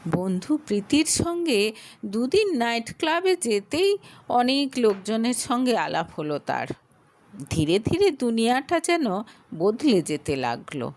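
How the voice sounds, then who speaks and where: Slow, expressive woman storyteller. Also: on the high side. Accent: Bengali. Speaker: narrator. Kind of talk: scripted audio story narration.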